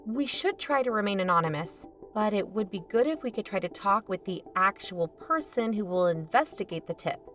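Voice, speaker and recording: An actress as a cautious, level-headed woman investigator in a fiction podcast. Her pitch sits in the middle of a woman's range. Music plays faintly behind her. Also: lively intonation, normal speed, few pauses, a bit bright, close to an everyday voice. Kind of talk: audio drama podcast dialogue and narration.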